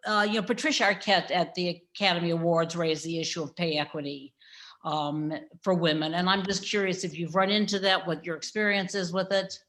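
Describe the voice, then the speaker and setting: A woman takes her turn as an audience member on a video call. Her voice is on the low side and strikingly bright, and she talks in an informal, chatty, familiar way. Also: fairly even intonation, a little fast. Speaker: audience member asking a question. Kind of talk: informal spoken question.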